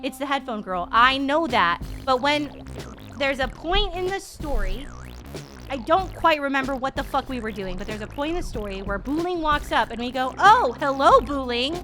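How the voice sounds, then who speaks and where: A woman streamer reacting to a game in rambling, animated, sweary casual chat. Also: high voice, moderate pace, faint music behind.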